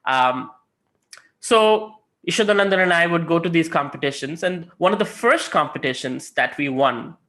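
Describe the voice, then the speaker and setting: Man, 30s, non-native English speaker, bright tone, storytelling preacher with emphatic peaks. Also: on the high side, expressive, normal speed. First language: not English. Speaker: guest speaker. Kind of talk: sermon with personal stories.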